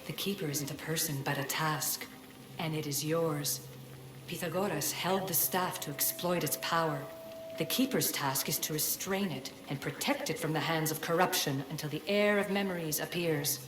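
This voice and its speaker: A woman playing an ancient, cryptic spirit in a video game, speaking in a solemn, measured, commanding way. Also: low voice, lightly acted. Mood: cryptic, instructing. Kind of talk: video game cinematic acting. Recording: echoey voice over loud background music.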